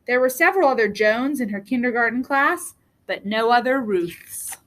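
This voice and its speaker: A woman reading a children's book aloud as a storyteller, with deliberate, expressive phrasing. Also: fairly high pitch, low-quality recording. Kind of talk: read-aloud storybook narration.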